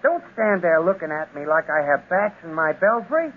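Jumpy high-pitched sidekick, blustery, stammers, husky, old tinny radio sound. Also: male voice, very animated, normal speed. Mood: insistent. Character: excitable bumbling adult male sidekick. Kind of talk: 1930s radio serial acting. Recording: tinny scratchy 1930s radio transcription.

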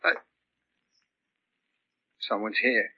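Deranged lovesick hospital patient, raspy n rambling, old muffled 40s radio sound. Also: male voice, lowish voice, animated, full character voice. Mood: dazed, weary. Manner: rambling, repetitive, halting. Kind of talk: 1940s radio drama acting. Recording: dull lo-fi 1940s radio recording.

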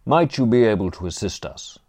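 A man's voice: on the low side, big pitch swings, normal speed, darkish tone, no echo. Valet, male reader: unflappable, deferential, formally precise audiobook character, dry sound. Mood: polite, projecting.

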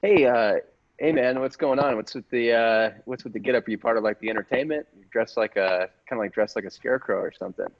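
A man improvising a hesitant, awkward everyman in a comedy sketch, halting and stammering, with slightly dull audio. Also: medium pitch, creaky. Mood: puzzled. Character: hesitant, awkward everyman, aspiring young supervillain intern.

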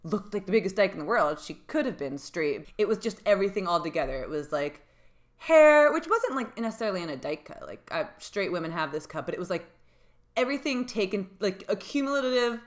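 A young woman with a deep voice in casual chat, rambling with many fillers and frequent laughs. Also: sing-song, brisk.

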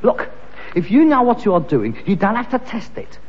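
An actor playing a stubborn, defensive adult son in a 1960s radio sitcom. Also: male voice, high-pitched, wildly swooping pitch, normal speed. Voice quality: defensive, exasperated. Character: touchy adult son, proud of his handiwork and stubborn. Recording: dull old radio recording with long reverb and faint hiss.

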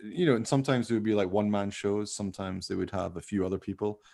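Male podcast host, low register with plenty of fry, informal and jokey, rambling conversational delivery. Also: fairly even intonation, fast talker, darkish tone. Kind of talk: casual chat.

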